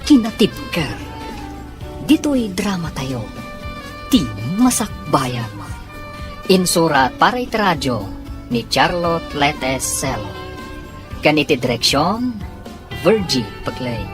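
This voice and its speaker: A woman announcing and narrating a radio drama in a scripted, theatrical, drawn-out delivery. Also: low-pitched, very animated. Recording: music bed under radio announcing.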